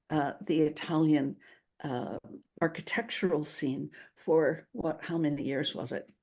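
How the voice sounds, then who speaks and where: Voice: medium pitch, a little mellow, husky. An instructor wrapping up a talk, hesitant and searching for words. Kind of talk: presentation.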